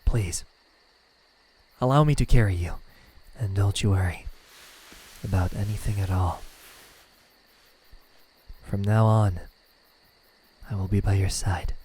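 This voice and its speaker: A man voicing a lonely, tender demon in love in an ASMR roleplay, speaking softly and haltingly, often near a whisper. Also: lowish voice, rough recording. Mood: gentle, protective. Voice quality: breathy, soft, half-whispered. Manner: hesitant, halting, stammering. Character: lovesick male demon, lonely, tender, self-doubting.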